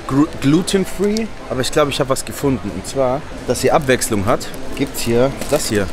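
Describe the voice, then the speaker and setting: Male fitness vlogger from Germany, 30s, slangy and casual, mid-pitched, music behind him. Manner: slangy, peppers German with English phrases. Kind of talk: casual vlog chat. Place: on location: beach, massage room, supermarket, kitchen. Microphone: handheld camera at arm's length.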